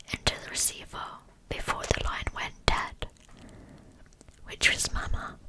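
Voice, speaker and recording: A woman narrating a scripted story as ASMR in a breathy, hushed whisper, phrase by phrase. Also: poor audio. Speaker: ASMR host narrating true crime.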